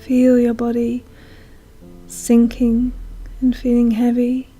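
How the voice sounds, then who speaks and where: A woman leads a guided meditation in a soothing, hushed manner, leaving long pauses. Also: fairly high pitch. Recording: soft music behind voice. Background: calm meditation music.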